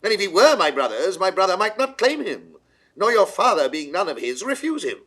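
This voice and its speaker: Imperious, high-pitched, theatrical British king. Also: very animated, fairly quick, sharp tone, exaggerated voice. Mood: judicial, measured. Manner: declamatory, regal, rhetorical. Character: imperious, shrewd medieval king of England. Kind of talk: 1960s classical Shakespeare audio drama acting.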